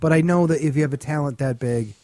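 A man's voice: fairly high pitch, fast, mellow. A man co-hosting a comedy podcast in casual banter, blunt, opinionated and prone to swearing. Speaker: podcast co-host and stand-up comedian.

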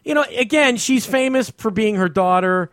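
A man's voice: high voice, expressive, moderate pace, fairly bright tone, dry recording, studio quality. A man co-hosting a podcast in casual comedy chat, rambling along bluntly and crudely. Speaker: podcast co-host.

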